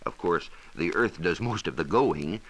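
An American man explaining science in a measured, precise, didactic way, in a scripted 1940s radio style. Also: low voice, animated, a bit bright. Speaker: science reporter explaining astronomy. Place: radio studio. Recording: hissy 1940s radio transcription disc.

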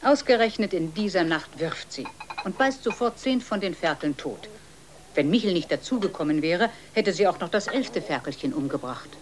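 A woman's voice: fairly low pitch, expressive, moderate pace, slight background noise. A woman giving scripted narration as the storyteller of a children's audio play.

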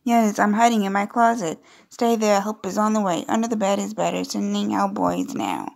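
A woman's voice: mid-range voice, animated, normal speed, gravelly, darkish tone. A woman doing casual, playful gameplay commentary, reading on-screen dialogue aloud with chatty, amused asides. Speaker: gaming video creator.

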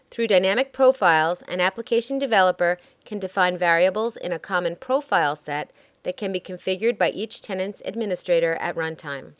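A woman reading a scripted software demo narration in a measured, instructional way. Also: fairly low pitch, no room sound.

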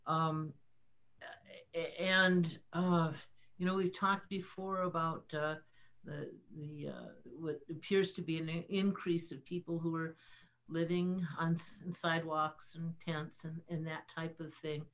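Older woman, low, slow and halting. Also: flat delivery, a bit bright. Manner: hesitant, halting. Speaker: city councilor. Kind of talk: unscripted remarks in a formal meeting. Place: small indoor office on a video call.

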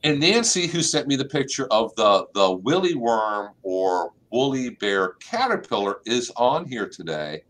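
A middle-aged man hosting a live online plant clinic from an office over a video call, explaining calmly and saying y'all. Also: mid-range voice, a little slow, few pauses. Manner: relaxed, explanatory, uses y'all. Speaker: extension agent and plant clinic host. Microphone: webcam on a video call.

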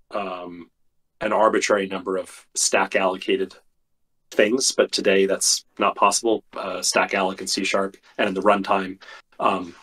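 A man, a software engineer guest, explaining technical details thoughtfully with many fillers, his voice getting tired and worn. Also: sits low, slightly rough, low-quality recording. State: losing his voice. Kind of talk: technical interview talk.

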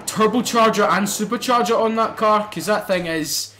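Excitable young man, let's play. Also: high voice, normal speed, a little hiss or hum. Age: young adult. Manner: excitable, swears, bursts into loud exclamations. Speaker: gaming channel host.

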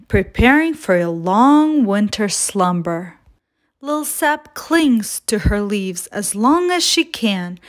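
Female children's storyteller, medium pitch, unhurried and deliberate picture-book narration. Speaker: children's storytime reader and host. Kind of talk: scripted read-aloud of a children's picture book.

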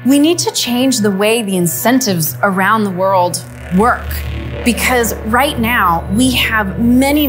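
A woman in her thirties, a climate advisor, speaking earnestly to camera in a plain studio, laying out points one by one. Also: a little high. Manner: earnest, list-like, upbeat. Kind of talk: to-camera interview.

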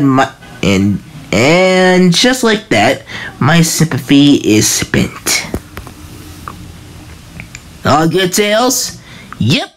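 This is a woman reading a comic aloud and doing all of its parts. She speaks very slowly, with a lively pitch that rises and falls, and she stumbles and restarts now and then. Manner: slow, halting reading with restarts. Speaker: fan comic dub reader.

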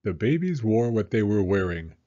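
A man narrating a children's story as a storyteller, in a measured, expressive manner. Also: on the low side, highs cut off.

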